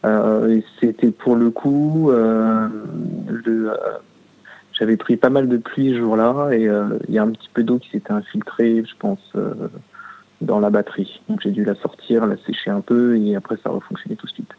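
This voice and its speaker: A man giving casual feedback as a customer, halting often to search for words. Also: lowish voice, steady tone. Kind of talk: casual spoken phone feedback. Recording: tinny, narrow phone line.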